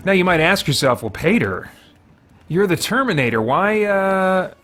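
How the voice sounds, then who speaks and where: A man's voice: sits high, big pitch swings, normal speed, a bit bright. A man giving casual gameplay commentary as a host, explaining his reasoning and thinking aloud as he goes. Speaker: host of a gaming video series.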